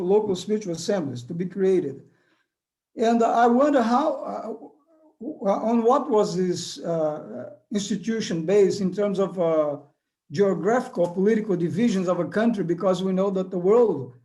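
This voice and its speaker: A man's voice: high voice, warm tone. An older man asking a question in an online class, hesitant and searching for words. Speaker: student. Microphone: earphone mic on video call.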